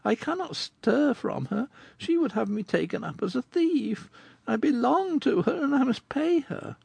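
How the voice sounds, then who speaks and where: A sighing, sorrowful girl of sixteen speaks in a high, fluttering voice that rises and falls widely, as a man reading the book puts her on. She is plaintive and gentle, telling of her hardships. A faint gravel lingers beneath the lifted tone, and the sound is close and dry. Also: lively intonation, unhurried, no echo, full character voice. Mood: despairing. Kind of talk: audiobook character reading.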